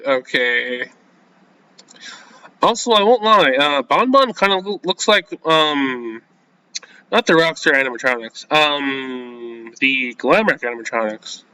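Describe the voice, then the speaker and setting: Man, gaming host, visual novel read-aloud, lively intonation, bright forward tone, flustered casual asides, halting delivery. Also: fairly high pitch, clean tone, rough recording. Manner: flustered, halting, self-censoring with casual slang.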